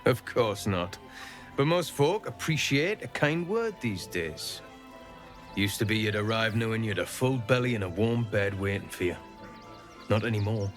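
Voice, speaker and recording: A man's voice: on the low side, expressive, moderate pace, natural voice. A man voicing a friendly, chatty townsman with folksy, affable talk. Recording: game dialogue with music.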